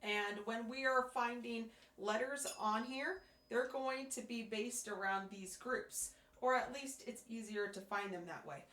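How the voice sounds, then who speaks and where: A woman teaching a beginner percussion lesson, patiently explaining step by step while hoarse from losing her voice. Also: a little high, unhurried, a bit bright. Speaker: music teacher. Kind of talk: instructional demonstration. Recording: echoey room.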